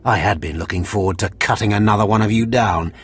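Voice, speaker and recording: An actor playing a menacing, sneering Sith killer in a video game. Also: male voice, lowish voice, quick pace, breathy edge. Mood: sneering menace.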